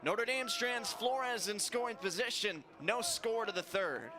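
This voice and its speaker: A man's voice: high-pitched, a little slow. A man doing live play-by-play commentary of a baseball game as a sports announcer. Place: ballpark, live broadcast. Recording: noisy outdoor stadium ambience.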